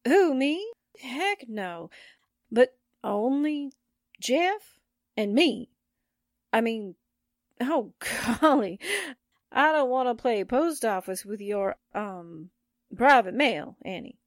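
An actress playing a naive, excitable, girlish sidekick in the style of 1940s radio drama. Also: a little high, slow pace, pauses often, no echo, studio quality. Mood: flustered, shy. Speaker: actor.

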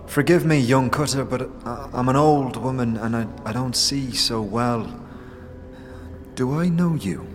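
A man's voice: mid-range voice, unhurried, big-room sound, natural voice. A man playing a crotchety, grieving old woman in an audio drama, rambling and scolding in rustic speech. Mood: weary, sorrowful. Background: music.

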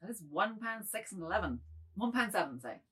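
Woman playing a husky, cautious middle-aged man. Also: medium pitch, big pitch swings, fairly quick, a bit bright, full character voice. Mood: quiet, prudent. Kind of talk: dramatized literary reading.

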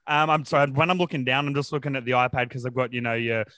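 Australian man, smooth clear voice, dry close recording, podcast host, informal and enthusiastic, chatty questions. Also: medium pitch, level tone, quick pace, pushed and bright, dry recording. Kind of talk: casual interview chat.

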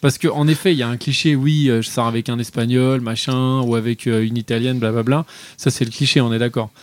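A man's voice: medium pitch, fairly even intonation, fast, dry recording. A man in a casual debate, arguing at length and apologizing for rambling. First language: not French.

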